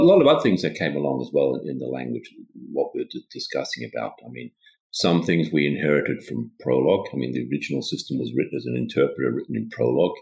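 A man's voice: creaky, a little mellow. A man from Sweden who grew up in Australia, a guest explaining ideas over a remote call, hesitant with frequent restarts. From Sweden, raised in Australia. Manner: halting, restarts often, rising pitch on openers. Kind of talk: casual technical interview.

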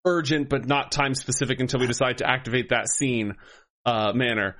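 A man serving as game master, narrating a tabletop game in a relaxed, hesitant way. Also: medium pitch, a little fast.

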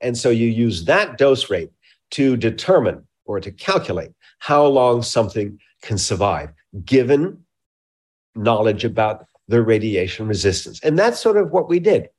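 A man with a mid-pitched voice speaks as an expert guest in a science interview. He explains things in technical terms, choosing precise words and stressing key points. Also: sing-song, measured pace, darkish tone.

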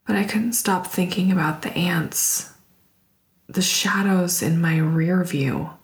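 Troubled young woman confiding in a secret diary; slow, hesitant, whispery, roomy sound, found-footage drama. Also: on the low side. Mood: uneasy, confiding. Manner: hushed, often whispering, hesitant. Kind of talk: intimate confessional audio drama monologue.

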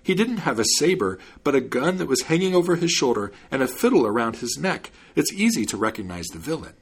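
A man voicing an excitable, aggrieved bearded man in a storybook tale, exclaiming and lamenting. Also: medium pitch, fairly quick, husky. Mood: wailing, indignant.

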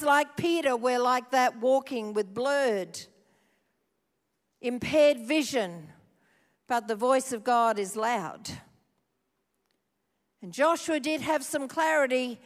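High-voiced woman preaching, slow and emphatic. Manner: emphatic, repeating phrases for effect. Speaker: pastor. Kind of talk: sermon. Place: church hall. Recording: long hall reverb.

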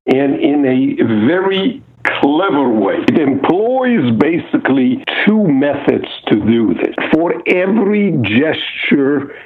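A man's voice: a little high, sing-song, slow, few pauses. Man on a phone line. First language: Hungarian. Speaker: guest expert. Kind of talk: interview answer.

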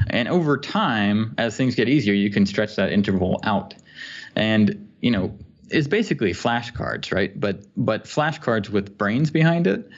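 A man with a fairly low voice chats casually as a podcast guest. He explains things informally in a tech conversation. The audio is somewhat dull and lo-fi. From Sweden.

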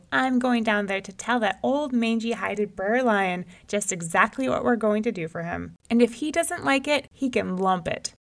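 A cocky little male rabbit from a folktale, as a woman reader puts him on. He sits up high and speaks loud, all brag and bluster, stammering when fear gets the better of him. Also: lightly acted. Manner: bragging, stammers when frightened. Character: boastful male rabbit, small, cocky but easily scared. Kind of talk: storybook folktale reading.

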